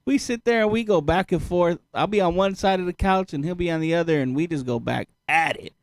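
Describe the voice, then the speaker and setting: A man's voice: high-pitched, a little fast, dry recording. A man DJ co-hosting a live stream, joking and swearing in street slang. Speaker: DJ co-host. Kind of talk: casual live-stream chat.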